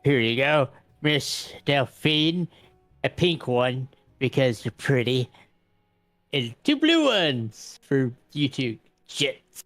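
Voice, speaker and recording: Man playing a slow-spoken, courtly, pious vampire gentleman. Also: medium pitch, sing-song, no room sound, faint music behind, heavily acted. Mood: courteous, fussy. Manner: hesitant, stammering, old-fashioned politeness. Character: courtly, pious male vampire warder, prudish around ladies. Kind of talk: improvised tabletop roleplay.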